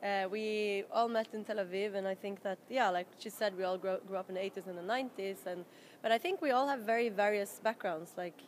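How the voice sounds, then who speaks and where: A woman's voice: mid-range voice, brisk. Chatty Norwegian-raised woman, noisy venue. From Norway. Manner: chatty, rambling, laughs often. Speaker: band member. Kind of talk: casual interview chat. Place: busy festival venue. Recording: background noise.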